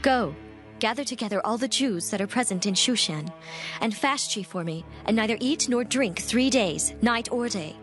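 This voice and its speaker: A woman's voice: fairly high pitch, close to an everyday voice. A woman playing a dignified young queen, grave and resolute, speaking formally in a dramatized scripture reading. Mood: solemn resolve.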